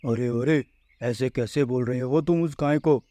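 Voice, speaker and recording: A sarcastic, jeering farm animal from a children's cartoon , acted by a man, teasing in a mid-pitched male voice with a dry sound. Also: measured pace, dark tone, no room sound, natural voice. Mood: mocking. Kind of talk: children's cartoon story acting.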